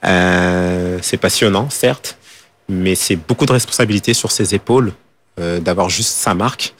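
A man's voice: low voice, normal speed, a bit bright, a little hiss or hum. A man from France, a fashion designer and school founder, answering interview questions in a hesitant way full of fillers and restarts. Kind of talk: radio interview.

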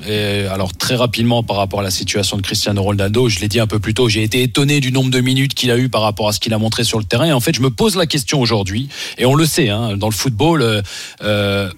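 A man's voice: mid-range voice, fast talker, no room sound. A man arguing insistently as a pundit in a sports radio debate, repeating himself to hold the floor. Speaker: football pundit, former international player.

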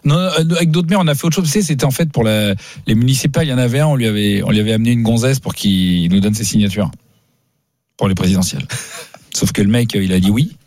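A man hosting a radio show, speaking in casual slang with bantering, animated storytelling. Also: lowish voice, fast, dry recording. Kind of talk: casual bantering talk and comic anecdotes.

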